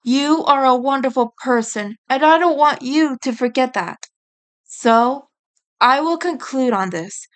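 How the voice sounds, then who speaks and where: A woman voicing a caring female rabbit's farewell letter in an audio story, earnest, gentle and apologetic. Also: sits high, expressive, measured pace. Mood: tender, earnest.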